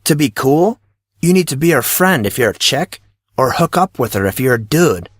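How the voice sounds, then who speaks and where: A man's voice: medium pitch, big pitch swings, moderate pace, husky, no echo, studio quality. A man playing a cocky, breezy teenage schoolboy who talks in slangy, self-assured teasing for an audio drama. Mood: cocky, explaining. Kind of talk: audio drama character reading.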